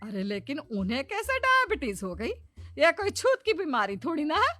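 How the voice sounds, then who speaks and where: A woman voicing a gossipy, gullible middle-aged mother-in-law, chatty and exclamatory, in an animated story. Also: high voice, moderate pace, dry recording, faint music behind, voice pushed up, full character voice. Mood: worried.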